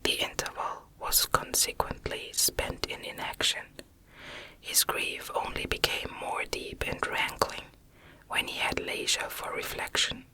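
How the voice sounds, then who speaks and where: A woman reading a classic novel as ASMR narration in a hushed, unhurried, measured whisper. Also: poor audio. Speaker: audiobook reader.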